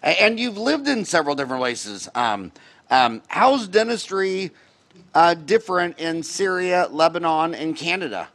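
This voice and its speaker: A man's voice: a little high, very animated, normal speed, a bit bright. A middle-aged American man hosting a casual interview in a folksy, rambling way, often restarting his questions. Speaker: podcast host, dentist.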